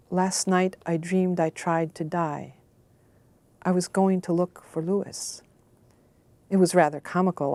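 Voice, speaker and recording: A woman with a notably low, mellow voice shares personal words and poetry. Her delivery is reflective and measured. The sound is dry and intimate, with no room around it. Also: dry recording. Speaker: poetry reader and research analyst. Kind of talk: personal reminiscence and poem recitation.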